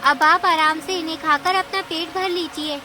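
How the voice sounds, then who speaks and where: A woman playing a small, wronged female mouse in a cartoon story, with an earnest, squeaky delivery. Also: steady tone, moderate pace, music in the background. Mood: sweet, eager. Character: sweet, timid, wronged young female mouse. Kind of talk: Hindi cartoon story acting.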